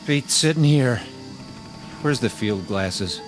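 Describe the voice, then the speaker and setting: An American actor playing a sardonic, war-weary infantry soldier who wisecracks in a radio drama. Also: male voice, mid-range voice, animated, takes it slowly, over music. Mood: teasing, watchful. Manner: drawling, sardonic.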